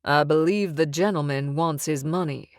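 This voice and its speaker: A woman's voice: deep voice, moderate pace, a little mellow, no room sound, studio quality. A woman voicing a smug, menacing male mob boss who talks with amused, sing-song menace. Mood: smooth.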